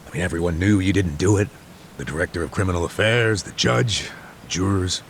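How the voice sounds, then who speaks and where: Gravelly, needling old detective. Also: male voice, low voice, a little fast, a little hiss or hum. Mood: probing. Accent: American. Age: older, near retirement. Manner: wry, nosy, drawling needling. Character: needling, unhurried old male detective tailing an ex-con. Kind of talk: English video game dub acting.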